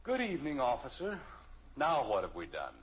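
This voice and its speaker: Exasperated, excitable radio sitcom dad. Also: male voice, medium pitch, normal speed. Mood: wary, weary. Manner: sputtering, sarcastic, long-suffering. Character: exasperated middle-aged suburban father, easily flustered. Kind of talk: 1950s radio family comedy acting. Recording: muffled old radio recording, faint hiss.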